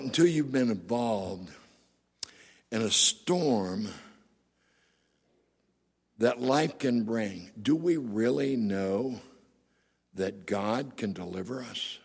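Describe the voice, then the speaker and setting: A middle-aged male pastor with a gravelly, raspy voice, preaching emphatically and asking the congregation rhetorical questions. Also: mid-range voice. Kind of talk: sermon. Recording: long hall reverb.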